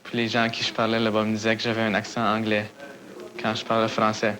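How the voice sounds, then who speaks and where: Monotone man from Manitoba. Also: lowish voice, quick pace. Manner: monotone, candid. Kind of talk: interview clip.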